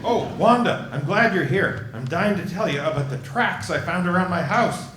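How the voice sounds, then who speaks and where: An actor reading a laid-back, deadpan man at a live table read. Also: sits high, normal speed. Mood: dry. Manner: deadpan, dry. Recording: echoey hall with faint noise.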